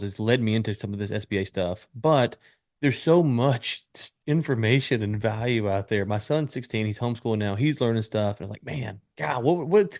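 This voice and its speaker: A man's voice: on the low side, darkish tone, no echo, clean studio sound. A man in his late thirties hosting a podcast interview, chatting casually and rambling with restarts. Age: 30s. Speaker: podcast host.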